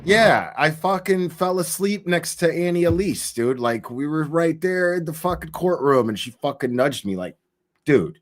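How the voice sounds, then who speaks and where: A man's voice: fairly high pitch, expressive, normal speed. A man hosting a live show, commenting casually on a court hearing, laughing often, swearing freely and mimicking people.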